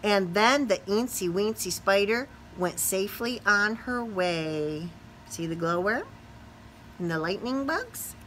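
A middle-aged woman teacher reading a children's storybook aloud in a sing-song, expressive way, putting questions to young listeners. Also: mid-range voice, slow, slight background noise, low-quality recording. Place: small classroom or office, indoors.

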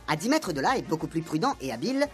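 A woman tells a comic radio serial with a lot of movement in her voice. She speaks in a lowish register and moves quickly through the story. It is a lively, storytelling delivery. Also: soft background music. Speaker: narrator.

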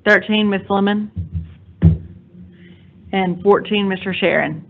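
A woman calling the roll of board members in a flat, matter-of-fact way. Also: medium pitch, slow, vocal fry. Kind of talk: roll call. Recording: tinny audio from a distant mic.

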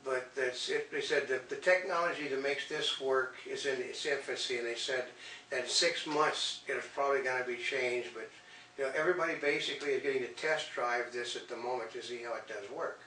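A man's voice: medium pitch, fairly quick, pushed and bright. Older guy in his 60s rambling explanations, echoey room, mic far off, noisy. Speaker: co-host of a home media expo wrap-up. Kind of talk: casual chat. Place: small indoor room, seated on a couch. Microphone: camera a few feet away. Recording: echoey room, distant mic, clear noise.

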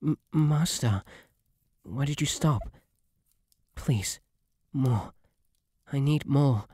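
A man with a British accent voicing a shy, devoted, submissive bunny butler in an intimate ASMR roleplay, soft, breathy, halting and deferential. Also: mid-range voice, no echo, studio quality. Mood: pleading, yearning.

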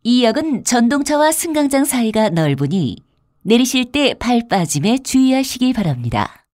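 A woman's voice: on the high side, sing-song, moderate pace, darkish tone, dry recording, clean studio sound. A woman reading scripted transit announcements in a formal, polite manner with careful enunciation. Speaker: subway announcer.

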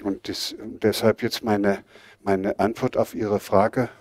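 A man's voice: lowish voice, little pitch movement, slow pace, dry recording, clean studio sound. An older man speaking bluntly and emphatically as he answers audience questions at an outdoor lecture. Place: outdoors in a garden before a seated audience.

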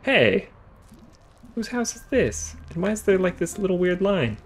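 Playful man with animated pitch, gaming. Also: unhurried. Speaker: let's player. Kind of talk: casual co-op gameplay chat. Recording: game music behind the voice. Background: video game music.

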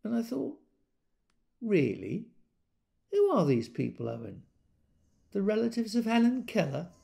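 A gossipy, wry office worker in an audio drama. He sounds exasperated and confiding, leaning hard on words with lively swings of pitch. The voice has a creaky grain. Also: unhurried, full character voice. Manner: sardonic, emphatic, confiding. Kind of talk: conversational audio drama monologue.

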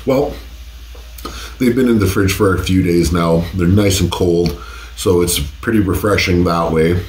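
A man's voice: low voice, unhurried, a little mellow, reverberant. A man in his forties hosting a casual taste-test vlog from his home office, laid-back and cursing freely, his voice stuffed up from a cold. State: congested from a cold. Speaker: host. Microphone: desk condenser mic.

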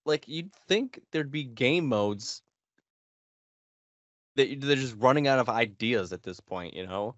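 A man's voice: medium pitch, expressive, a little slow, pauses often, no echo. An American man in his thirties, a podcast co-host chatting casually about games. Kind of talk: casual gaming chat. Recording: slightly dull, band-limited, dry sound.

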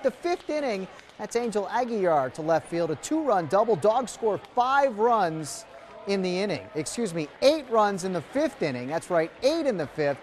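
Male local sports anchor, middle-aged, high voice, punchy scripted highlights over noticeable background noise. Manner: punchy, emphatic announcer delivery. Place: TV news studio.

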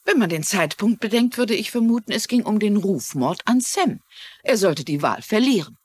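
A woman's voice: medium pitch, animated, normal speed, husky. An actress playing a shrewd older woman who is a mystery writer, courteous and inquisitive, in a German-dubbed crime series. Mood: probing. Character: shrewd, polite older widow mystery writer and amateur sleuth. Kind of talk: dubbed TV crime drama dialogue.

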